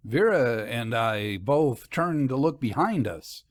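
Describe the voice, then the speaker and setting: A man giving an articulate, expressive scripted narration of a story. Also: mid-range voice, slow, dry recording. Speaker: audiobook narrator.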